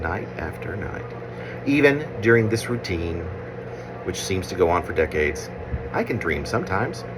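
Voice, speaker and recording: Guy reading his poem, lowish voice that moves up and down a lot, noticeable noise behind him. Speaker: poet reading own work. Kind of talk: literary poetry reading.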